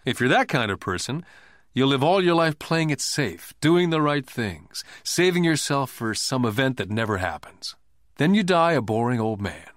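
A man voicing a calm, shrewd boss in an audiobook, lecturing like a mentor in a measured, wry way. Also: mid-range voice, no room sound, studio quality, natural voice. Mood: earnest lecturing.

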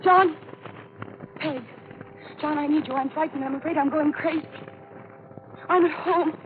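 An actress playing a frightened young American woman near hysterics in a 1940s radio mystery, with a breathless, pleading, melodramatic delivery in short halting phrases. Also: sits high, steady tone. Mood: panicked, pleading. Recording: scratchy, tinny 1940s radio broadcast, heavy noise.

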